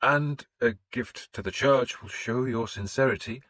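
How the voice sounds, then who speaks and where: Male narrator voicing a nervous storybook priest, high-pitched and slow, husky edge, dry close sound. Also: fairly bright tone, no room sound. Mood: wary. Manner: slow, hesitant, lifted and pleading. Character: fussy, faint-hearted male village priest.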